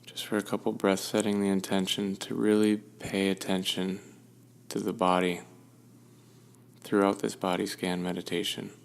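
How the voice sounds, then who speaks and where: Low, gravelly man guiding meditation. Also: flat delivery, moderate pace. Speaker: meditation guide. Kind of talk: guided meditation, gentle instruction.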